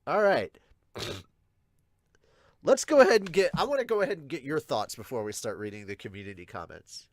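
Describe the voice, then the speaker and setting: A man's voice: mid-range voice, expressive, a little fast, no room sound. A man hosting a casual reaction podcast, explaining things emphatically and swearing casually. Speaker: podcast host. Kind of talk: casual chat, manga reaction.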